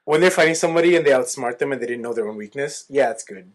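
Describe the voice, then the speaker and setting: A man's voice: mid-range voice, racing. A man co-hosting a podcast, explaining things emphatically in a casual fan discussion. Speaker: podcast co-host.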